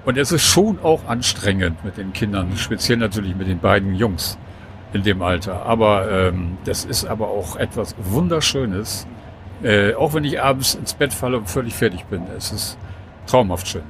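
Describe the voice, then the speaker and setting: A man's voice: on the low side, expressive, normal speed. Man in his sixties chatting on a noisy train. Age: 60s. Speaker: guest. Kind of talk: casual chat.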